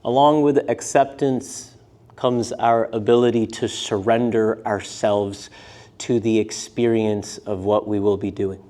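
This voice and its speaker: Unhurried man guiding a yoga class. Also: fairly low pitch, steady tone. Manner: unhurried, gentle phrasing in short pieces with pauses. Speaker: yoga and meditation teacher. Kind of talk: guided meditation class instruction. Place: live class in a yoga studio.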